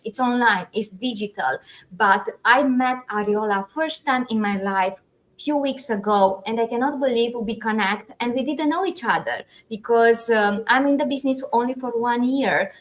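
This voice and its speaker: A woman's voice: fairly high pitch, poor audio. A woman from Europe hosting an interview, encouraging, speaking non-native English with many fillers. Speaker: host. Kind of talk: casual interview.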